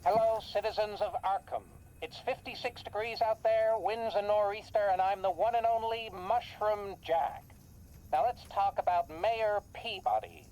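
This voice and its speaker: An eerie radio storyteller from a horror game, performed by a woman, speaking at a middling pitch. The delivery is folksy and theatrical, leaning on dramatic pauses to spin macabre scripted tales. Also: mellow, a little hiss or hum, close to an everyday voice, low-quality recording. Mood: folksy, ominous.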